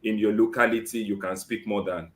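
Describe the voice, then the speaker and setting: A man's voice: lowish voice, fairly even intonation, fairly quick. Nigerian guy hosting a live job show, lots of "great" and "um", echoey room. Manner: keeps saying "great" and fills with "um". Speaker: podcast host interviewing a job seeker. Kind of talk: live online interview.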